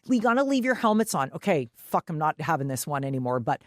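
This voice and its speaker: A woman's voice: low-pitched, big pitch swings, quick pace, no echo, studio quality. Woman in casual, emphatic sports chat. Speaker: podcast co-host.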